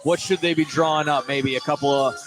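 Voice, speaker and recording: A man's voice: fairly high pitch, steady tone, brisk. A man doing live play-by-play basketball commentary for radio, repeating and correcting himself as he calls the action. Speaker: play-by-play sports announcer. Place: indoor basketball gym, courtside vantage point. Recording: clearly noisy gym ambience, bouncing balls. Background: gym noise and basketball bounces.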